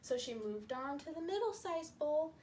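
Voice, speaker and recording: A woman's voice: high voice, sing-song, darkish tone, slight background noise. A woman telling a story to young children with puppets, repeating and emphasizing phrases as she explains. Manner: slow, repetitive, emphatic, explaining to small children.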